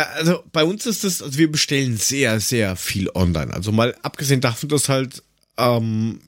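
A man's voice: mid-range voice, a bit bright, no room sound, studio quality. A man with an Austrian accent telling anecdotes in a casual chat as a podcast co-host, blunt, colloquial and grumbling.

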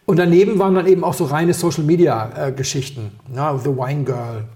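A man from Berlin chatting casually on a podcast as co-host, rambling through anecdotes with quick asides and self-corrections. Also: fairly high pitch, fairly even intonation, mellow. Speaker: podcast co-host and wine taster.

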